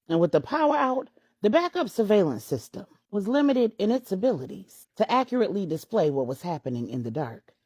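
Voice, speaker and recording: A woman's voice: medium pitch, dark tone, breathy edge, dry recording, studio quality. A woman narrating a scripted story as a storyteller, with a dramatic, emphatic delivery.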